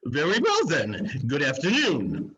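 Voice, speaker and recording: A man performs a grumpy old miser from an amateur dramatic reading. He is scornful and gruff, with slow, husky delivery that swings widely. The audio is a little dull. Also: fairly high pitch, huge pitch swings, pushed and bright, full character voice. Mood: dismissive. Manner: gruff, curt, exclamatory. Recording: dull lo-fi video-call audio.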